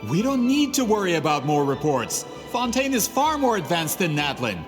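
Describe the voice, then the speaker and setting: A man's voice: high-pitched, big pitch swings, moderate pace. A man voicing a greedy, scheming male printing merchant in a game cutscene, smug and scornful. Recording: game audio with reverb and music.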